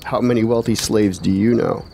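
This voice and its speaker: Man acting solemn, deep-voiced warrior mentor. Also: steady tone, normal speed, mellow, slight background noise, lightly acted. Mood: admonishing. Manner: solemn, exhorting, formal. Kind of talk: fantasy radio drama acting.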